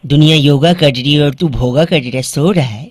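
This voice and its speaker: A man's voice: on the high side, normal speed, heavy background noise, pitched below the performer's own voice, lightly acted. A man doing a cheeky prank-calling lad Bauaa. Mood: teasing. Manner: teasing, playful, wordplay jokes. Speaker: radio prank caller. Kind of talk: radio prank call.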